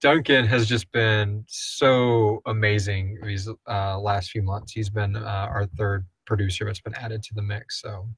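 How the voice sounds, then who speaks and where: A man with a fairly low voice hosts a casual live Q&A. He rambles along informally with a jokey, slightly sweary streak. The sound is dry, with very little room to it. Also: fairly even intonation, unhurried, hardly any pauses, a bit bright, no echo. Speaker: co-host and producer of an audio drama podcast. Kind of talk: casual live Q&A chat with listener questions read aloud.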